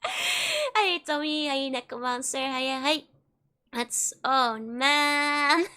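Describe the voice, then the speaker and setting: A high, bright woman's voice with a Dutch accent, talking through a game stream in an unhurried way. She giggles often between her remarks and chats loosely with her viewers. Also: sing-song. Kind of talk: casual live gameplay chat.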